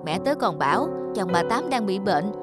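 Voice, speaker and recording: A woman's voice: medium pitch, sing-song, moderate pace, loud music behind the voice, natural voice. A woman voicing a chubby, caring schoolboy in a children's cartoon, earnest and a little scolding. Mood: earnest, reproachful.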